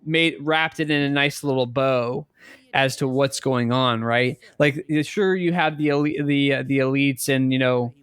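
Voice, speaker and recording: A man's voice: mid-range voice, fairly even intonation, moderate pace, fairly bright tone, smooth, no echo. A man co-hosting a podcast, talking casually in a rambling, emphatic way and repeating himself for stress. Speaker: podcast co-host. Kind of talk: casual conversational video game chat.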